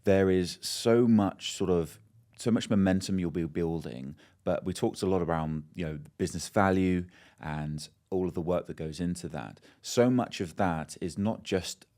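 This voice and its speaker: Man, deep low pitch, podcast host, informal, casual interview chat, repetitive phrasing. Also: normal speed, mellow. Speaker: podcast host, company CEO.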